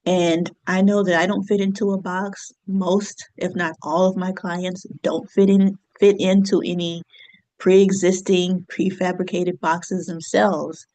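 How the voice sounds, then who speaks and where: A woman in her thirties, an astrologer and business coach, talking reflectively to her viewers with easy fillers and soft laughs. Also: lowish voice, flat delivery, a little slow, low-quality recording. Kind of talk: casual solo talk to camera.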